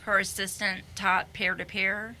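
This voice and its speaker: A woman from Kansas is a guest on a radio interview show, answering in short, soft-spoken replies. Her voice is very bright, and her pitch stays very flat. She sounds reserved. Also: measured pace, slight background noise. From Kansas, USA.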